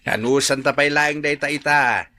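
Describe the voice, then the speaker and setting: A man's voice: a little high, fairly even intonation, normal speed, bright, forceful tone, no room sound. A man acting a chummy, tipsy village drinking buddy in an Ilocano radio comedy drama. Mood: chummy, confiding.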